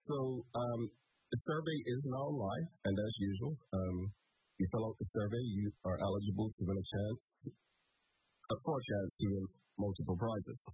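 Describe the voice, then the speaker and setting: A man's voice: on the low side, normal speed, dark tone, breathy edge, faint music behind, poor audio. A man hosting a morning talk show, stuffed up from a cold, chatting loosely with many fillers.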